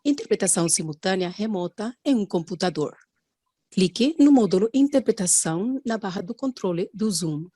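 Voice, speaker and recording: Mellow, husky woman narrating instructions. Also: medium pitch, lively intonation, normal speed, dry recording, clean studio sound. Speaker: tutorial narrator.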